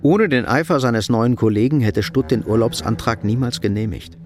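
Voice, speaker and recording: Mid-pitched male drama narrator. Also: moderate pace, darkish tone, faint music behind. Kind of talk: scripted radio drama narration.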